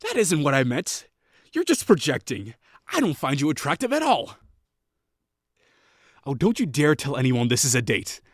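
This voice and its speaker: A man's voice: fairly high pitch, wildly swooping pitch, no room sound, studio quality. A young man playing a haughty, sarcastic college rival who is secretly lonely, in a scripted audio roleplay. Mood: flustered teasing. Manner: sarcastic, scornful, quick to bristle.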